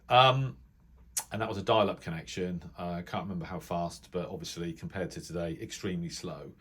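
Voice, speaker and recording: A man's voice: deep voice, fairly bright tone. A middle-aged man with a British accent talks casually and without a script as he presents a hobby video, hesitating and thinking aloud as he goes. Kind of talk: casual unscripted commentary while browsing a reference book.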